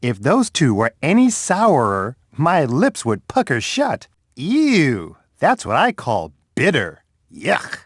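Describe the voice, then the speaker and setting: A big, friendly bear from a children's game, who talks slowly and kindly to a young child. His mid-pitched voice swoops widely up and down as he coaxes and praises, and the studio sound is close and dry. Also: wildly swooping pitch, husky, dry recording, heavily acted. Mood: encouraging. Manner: slow, sing-song, coaxing, speaks to a young child. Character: big friendly male bear, gentle and encouraging. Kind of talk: educational game voice clips.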